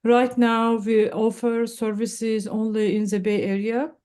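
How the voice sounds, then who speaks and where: A young woman explains her organization in a calm, measured way over a video call. Her voice is mellow and smooth, and she talks at an unhurried pace. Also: a little high. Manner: measured, explanatory, with light laughs and fillers. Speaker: nonprofit founder, guest. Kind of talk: casual video-call interview. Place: Silicon Valley, indoors.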